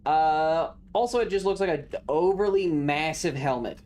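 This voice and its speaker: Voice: medium pitch, lively intonation, moderate pace, darkish tone. A streamer chatting casually while playing a video game, rambling along to themselves and the viewers. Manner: rambling, talks to self and chat. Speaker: streamer hosting a live stream.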